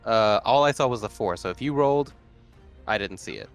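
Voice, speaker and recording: A man's voice: lowish voice, expressive, brisk, clear, resonant tone, faint music behind. A man chatting casually as a player in a tabletop roleplaying game. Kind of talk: casual gaming chat.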